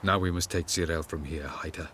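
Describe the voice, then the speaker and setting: An actor playing an aloof, haughty elven sage who speaks formally and condescendingly in a video game. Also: male voice, low voice, fairly even intonation, brisk, slight background noise. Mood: stately. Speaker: game character. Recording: game audio over loud music.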